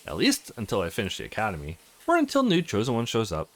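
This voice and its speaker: A man's voice: on the low side, wildly swooping pitch, fast, a bit bright. A man hosting a let's play, reading visual novel dialogue aloud, acting out lines and adding casual commentary. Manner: acts out characters' lines with exclamations.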